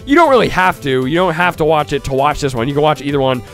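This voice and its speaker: A young man with a cold and a stuffy voice, giving excited let's-play commentary on a game and shouting at it now and then. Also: a little high, fast, soft background music. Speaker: gaming YouTuber.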